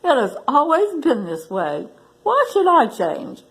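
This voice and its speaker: A woman's voice: sits high, wildly swooping pitch, unhurried, creaky, pitched above the performer's own voice, full character voice, rough recording. Woman acting a pompous, dramatic lion king. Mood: entitled. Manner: pompous, self-pitying, dramatic.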